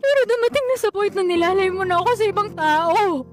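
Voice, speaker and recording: A woman's voice: extremely high pitch, lively intonation, fast talker, over music. An actress playing a young woman confronting her possessive ex, exasperated and pleading, in a radio drama. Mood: tearful.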